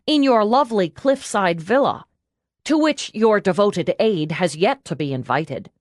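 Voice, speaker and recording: A woman's voice: mid-range voice, expressive, measured pace, no room sound, studio quality, natural voice. A woman voicing a loyal female police aide in an audiobook, dutiful and wryly cheeky as she reports. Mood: teasing. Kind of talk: audiobook character dialogue.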